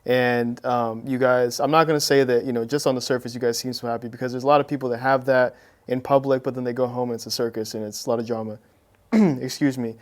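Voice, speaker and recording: A young man hosting a casual interview, relaxed, asking long questions and answering with short affirmations. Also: medium pitch, fast. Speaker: host.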